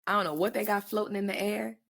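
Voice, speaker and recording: A woman's voice: mid-range voice, steady tone, fast, vocal fry, fairly bright tone. A woman co-hosting a podcast in casual chat, talking bluntly and swearing freely. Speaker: podcast co-host; mother.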